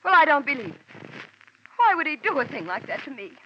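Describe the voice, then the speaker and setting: An actress playing a glamorous, coy wealthy wife in a 1950s radio drama, flirtatious and prone to melodrama. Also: female voice, sits high, sing-song, brisk. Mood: melodramatic. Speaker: Mrs. Stella Drake. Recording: dull old radio recording with hiss.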